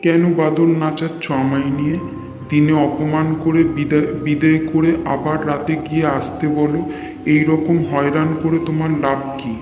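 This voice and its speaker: An actor playing an earnest, persistent but wary man seeking a guru, pleading and muttering doubts, in an animated horror story. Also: on the high side, normal speed, natural voice. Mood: aggrieved. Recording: echoey reverberant voice over clear music.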